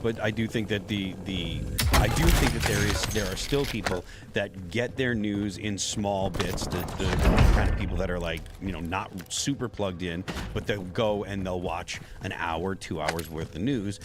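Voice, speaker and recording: A man co-hosting a casual political chat, measured and searching for words. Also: sits low, fairly even intonation. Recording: loud noise under the voice.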